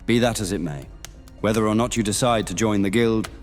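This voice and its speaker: A man playing a level-headed medieval knight who guides new recruits in a fantasy audio roleplay, measured and explanatory. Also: on the low side, faint music behind, natural voice. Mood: measured, cautioning.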